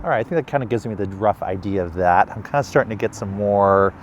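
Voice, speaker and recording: A man's voice: on the low side, animated, quick pace, soft background music. A man speaking as a video creator, presenting in a vlog style.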